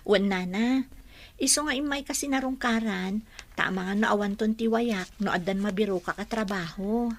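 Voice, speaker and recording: A woman playing a gentle young working woman and devoted daughter in an Ilocano audio drama. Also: mid-range voice, normal speed, slight background noise, lightly acted. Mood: reassuring.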